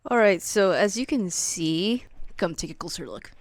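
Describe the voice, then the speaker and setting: Low-voiced young woman vlogging at home. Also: animated, dry recording. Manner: quick talker who stumbles over words. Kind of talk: casual chat to camera. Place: at home, indoors.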